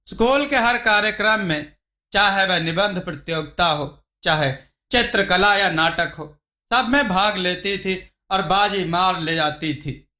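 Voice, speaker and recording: एक पुरुष, जो लिखी हुई नैतिक कहानी को भाव के साथ कथावाचक की तरह सुना रहा है।. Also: male voice, high voice, unhurried, bright, forceful tone. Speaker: storyteller. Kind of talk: scripted Hindi moral story narration.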